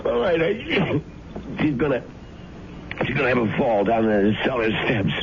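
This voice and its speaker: An actor playing a sick, winded boarder in a radio drama, a reluctant hired menace who talks haltingly and with strain. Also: male voice, medium pitch, lively intonation, natural voice. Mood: resigned, panicky. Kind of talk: 1970s radio drama acting. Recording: very noisy, muffled old radio broadcast.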